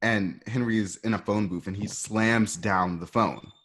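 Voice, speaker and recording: A man's voice: fairly low pitch, steady tone, measured pace, a bit bright. A man reading out stage directions for a radio play in an informal, conversational way, with asides to the listeners. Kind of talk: live table-read narration of a screenplay.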